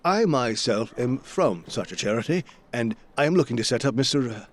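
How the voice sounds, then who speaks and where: A man playing a genial, slightly flustered gentleman, a detective's loyal companion, speaking politely and earnestly in an audio drama. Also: mid-range voice, lively intonation, normal speed, lightly acted. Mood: bumbling, polite.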